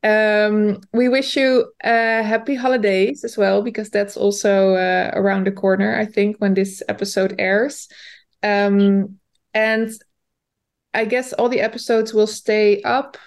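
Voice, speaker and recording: A woman's voice: medium pitch, fairly even intonation, unhurried. A woman co-hosting a podcast, talking in a thoughtful, hesitant way with fillers. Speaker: podcast co-host. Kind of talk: casual podcast chat.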